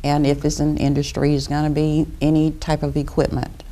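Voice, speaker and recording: Woman from Florida, middle-aged, mellow tone, measured explanations, laughs easily. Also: sits low, completely flat delivery, slight background noise. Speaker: property appraiser guest. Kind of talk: studio interview.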